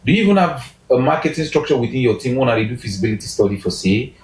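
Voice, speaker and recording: A man talking as a guest in a casual interview, animated, pushing his voice up for emphasis and restarting sentences. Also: medium pitch, fast talker. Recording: roomy echo.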